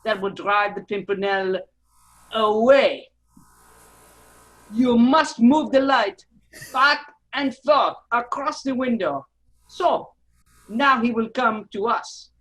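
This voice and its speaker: A menacing agent of the French Revolution, acted by a woman in a radio drama table read. The voice is fairly high. The delivery is clipped, commanding and coolly formal. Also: slow pace, pauses often, fairly bright tone, poor audio. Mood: coolly smug. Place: remote virtual table read.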